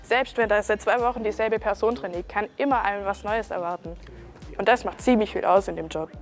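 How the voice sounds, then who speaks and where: Young woman, chill and matter-of-fact, music clearly under her. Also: medium pitch, fairly even intonation, fairly quick. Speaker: nursing trainee.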